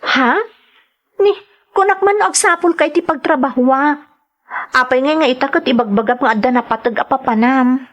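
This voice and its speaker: A woman's voice: high-pitched, moderate pace, natural voice. A woman with an Ilocano accent playing a naive, trusting young woman in an audio drama, hesitant and questioning. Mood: puzzled, pleading. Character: naive, trusting young woman newly arrived seeking work. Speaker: voice actor in a radio-style drama.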